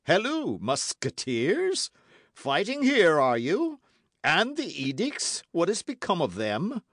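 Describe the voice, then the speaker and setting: A man's voice: fairly high pitch, wildly swooping pitch, a little slow, dry recording, clean studio sound, pitched above the performer's own voice, exaggerated voice. Pompous guard captain barking orders, guy putting him on. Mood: commanding. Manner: loud, commanding calls. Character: pompous officer of the guards, stern and officious.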